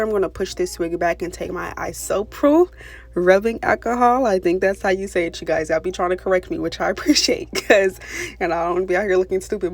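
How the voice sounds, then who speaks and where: A young woman with a raspy voice, talking casually through a hair tutorial voice-over, full of "you know" and "pretty much". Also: medium pitch. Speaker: beauty vlogger. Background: soft background music.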